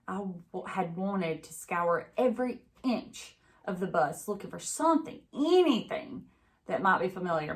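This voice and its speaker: A woman with a slightly low voice reads a children's book aloud. She is expressive and teacherly, speaking as if to a class of young listeners. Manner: pauses to chat with young listeners.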